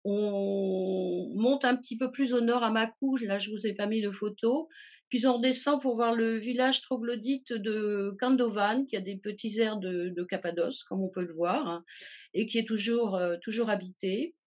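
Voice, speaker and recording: A woman's voice: medium pitch, normal speed, darkish tone, dull audio. A woman from a travel agency presenting a tour itinerary from slides, hesitating often with fillers and restarts.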